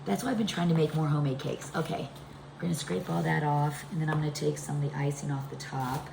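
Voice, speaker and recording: Low, congested, gravelly woman in echoey kitchen. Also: fairly even intonation, fast. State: congested, deepened voice from a chest cold. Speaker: home cooking video host. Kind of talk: casual cooking demonstration talk. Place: indoors, home kitchen. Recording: echoey room with background noise.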